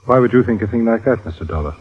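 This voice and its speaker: Deep, suave, evasive planter. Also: male voice, animated, very fast, breathy edge. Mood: cool, polite. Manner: polite, formal, coolly controlled. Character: suave, evasive planter husband suspected of murder. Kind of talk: 1950s radio drama acting. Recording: dull lo-fi old radio broadcast.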